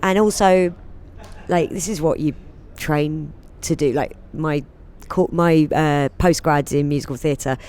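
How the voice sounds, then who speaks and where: Woman with a low voice, chatty interview guest who laughs easily. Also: darkish tone. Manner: chatty, laughs often, quick asides. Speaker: guest, comedian and singer. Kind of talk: casual interview chat.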